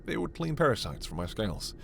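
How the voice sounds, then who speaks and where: A man playing a gentle, scholarly, protective alien doctor in a sci-fi ASMR roleplay, speaking in a measured, formal, precise way. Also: medium pitch, very animated, gravelly, background noise. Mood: explanatory, earnest.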